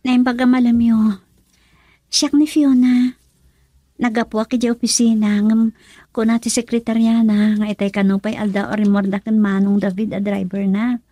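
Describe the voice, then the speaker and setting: Actress as an earnest, high-voiced, plaintive woman. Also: level tone, moderate pace, mellow, dry recording, lightly acted. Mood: polite, defensive. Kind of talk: Ilocano radio drama acting.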